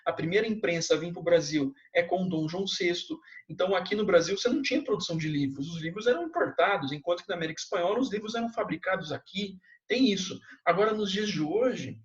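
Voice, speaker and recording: High-voiced casual male history teacher. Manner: casual, slangy, with frequent tag questions. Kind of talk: recorded classroom lecture. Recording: dull lo-fi sound.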